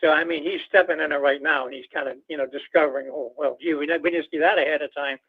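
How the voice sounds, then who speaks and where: Tinny phone line, quick higher-voiced male caller. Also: fairly even intonation, gravelly, husky. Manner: rambling, folksy, leans on filler phrases. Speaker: caller to a radio show. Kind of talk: casual political phone-in chat. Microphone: telephone.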